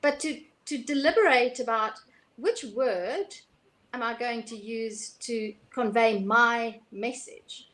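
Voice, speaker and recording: A woman's voice: a little high, slow, fairly bright tone. Woman, thoughtful and hesitant. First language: Afrikaans. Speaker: host. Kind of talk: casual conversation.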